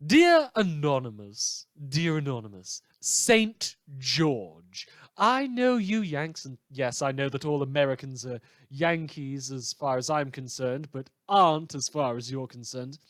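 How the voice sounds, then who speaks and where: A man playing a wry, world-weary British radio newsreader in a scripted fictional broadcast, theatrical and droll with dramatic pauses. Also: mid-range voice, big pitch swings, no echo. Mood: droll, exasperated. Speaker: podcast performer playing a broadcast host.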